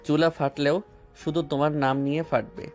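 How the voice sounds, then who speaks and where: A man's voice: fairly high pitch, level tone, normal speed, soft background music, close to an everyday voice. A man playing a tender teasing young husband. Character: affectionate playful young husband. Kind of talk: romantic audio drama acting.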